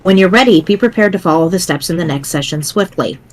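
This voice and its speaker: A woman voicing a pedantic, precise young explainer in a dramatic reading, formal, wordy and measured. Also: fairly low pitch, lively intonation. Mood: pedantic, serious.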